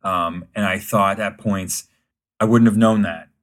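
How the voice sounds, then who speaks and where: A man's voice: lowish voice, fairly even intonation, a bit bright. A man chatting about soccer as a panelist, rambling and joking. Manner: fast, rambling, joking asides. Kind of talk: casual chat.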